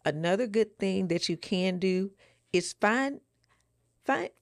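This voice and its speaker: Creaky-voiced woman co-hosting, close dry sound. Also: mid-range voice, lively intonation, slow, no room sound. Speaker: podcast co-host. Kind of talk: casual conversational advice.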